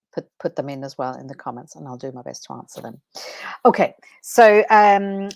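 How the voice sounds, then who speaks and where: A woman's voice: fairly low pitch, no echo. A woman with a Danish accent, a transformation coach, chatting unscripted and a little nervously on her first livestream, passionate, with fillers and self-corrections. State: slightly nervous.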